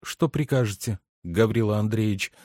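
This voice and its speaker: A man voicing a timid, submissive young maidservant in a storybook, speaking quietly, hesitantly and obediently. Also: medium pitch, a little slow, no room sound, clean studio sound, voice pushed up, lightly acted. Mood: timid obedience. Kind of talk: dramatic literary audiobook character reading.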